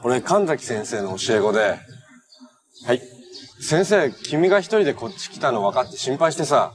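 A man's voice: mid-range voice, very animated, normal speed, natural voice. A man acting as a kind, reassuring young hero in natural television drama dialogue. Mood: calm, reassuring.

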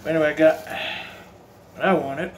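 A man talks through a casual home review, filming on a phone he holds himself. His voice sits a little high and wanders, restarting phrases as he goes. The room is echoey, with a faint hum of ambience behind him. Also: fairly even intonation, fairly quick. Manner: rambling, repeats and restarts phrases. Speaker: cigar vlogger. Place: home room with bare walls. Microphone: phone held while filming.

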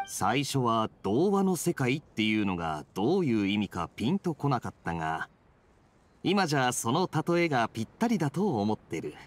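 A man voicing a relaxed, playful young male knight in an anime game, speaking smoothly and casually. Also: medium pitch, moderate pace, close to an everyday voice. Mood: suave, amused. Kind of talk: Japanese anime game voice acting.

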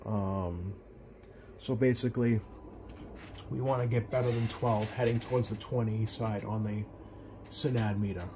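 A man giving an informal, slightly halting hands-on tutorial as a radio repair hobbyist at a workbench. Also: on the low side, steady tone, warm tone. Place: indoor electronics workbench. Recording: clearly noisy workshop recording. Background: clear background noise.

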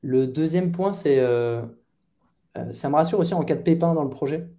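A man's voice: a little high, normal speed, warm tone. A man in his thirties, a guest product manager, answering interview questions from his bedroom over a headset on a video call, thoughtful and hesitant with many fillers and restarts. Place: bedroom at home.

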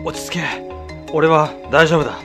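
A man's voice: fairly high pitch, moderate pace, music in the background, close to an everyday voice. A man playing a cocky, short-tempered senior office worker in a comedic radio drama, swaggering and then grumbling under strain.

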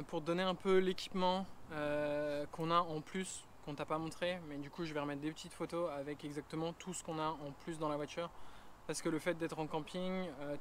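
A man's voice: fairly high pitch, a little hiss or hum. A man in his thirties giving a casual vlog walkthrough, chatty with many fillers, filmed on an action camera. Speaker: travel vlogger. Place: roof tent on a car, then outdoors in bush.

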